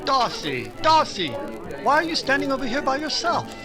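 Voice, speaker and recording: A man playing a sociable young gentleman at a ball in a period radio drama. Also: extremely high pitch, very animated, moderate pace, music in the background. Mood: eager, urging.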